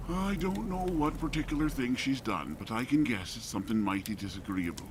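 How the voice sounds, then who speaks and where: A man's voice: mid-range voice, expressive, a little fast, close to an everyday voice. A man playing a wry, wary peasant husband in an audio drama, speaking. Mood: wry, placating. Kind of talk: audio drama character acting. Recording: clear background ambient noise.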